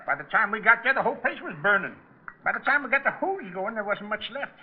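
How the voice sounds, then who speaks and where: A man's voice: sits high, lively intonation, fast, slight background noise. An actor playing a talkative, muddled old lodge foreman with a rural American accent, rambling and repeating himself in old-time radio style. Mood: folksy, rambling. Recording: dull vintage radio broadcast recording.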